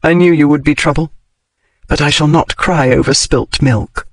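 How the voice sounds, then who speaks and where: A sharp-tongued, logical lady of a bygone era, as a male audiobook narrator puts her on, lifting his voice high and clipping each formal phrase. The sound is close and dry, with no room around it. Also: normal speed, no echo. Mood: insistent. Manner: crisp, formal period speech rising in pitch. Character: blunt, logical Victorian-era lady, wry and emotional. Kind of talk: audiobook character reading.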